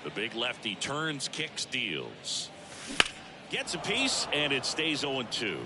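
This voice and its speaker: Lively baseball announcer, very noisy. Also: male voice, mid-range voice, slow pace. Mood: engaged, descriptive. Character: brisk male baseball play-by-play announcer. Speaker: play-by-play announcer. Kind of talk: baseball play-by-play commentary. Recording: game broadcast under very loud noise.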